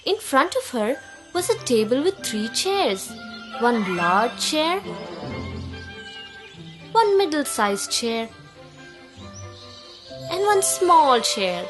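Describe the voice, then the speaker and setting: A woman narrating a scripted children's fairy tale as a storyteller, in a deliberate, expressive way. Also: high-pitched.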